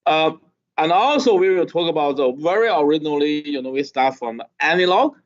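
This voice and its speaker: Middle-aged guy teaching a class over a video call, kinda high voice, muffled lo-fi sound, keeps saying okay?. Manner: halting, frequent "okay?" checks with listeners. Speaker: lecturer. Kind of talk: classroom lecture. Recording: dull lo-fi video call audio.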